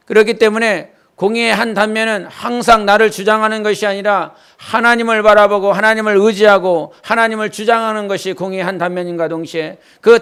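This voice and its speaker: Male pastor from Jeolla, high and smooth voice, earnest sermon with folksy anecdotes in a church. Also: a bit bright. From Jeolla, South Korea. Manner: earnest preaching mixed with homely childhood anecdotes. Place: church sanctuary.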